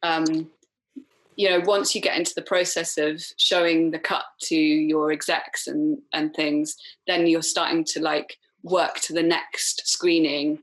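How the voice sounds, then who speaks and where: Low voiced woman, chatty podcast guest, lots of "like"s and laughs. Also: flat delivery, measured pace, low-quality recording. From Wales. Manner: chatty, many fillers, frequent laughs. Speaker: film director guest. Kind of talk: casual podcast chat.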